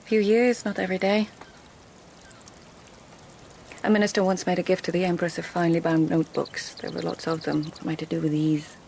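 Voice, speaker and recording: A woman's voice: on the low side, level tone, quick pace, creaky, a little mellow, slight background noise. A woman playing a shrewd, witty lady-in-waiting at an imperial court in a radio drama, speaking in a poised, articulate, formal way. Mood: guarded, composed. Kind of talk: 2000s radio drama acting.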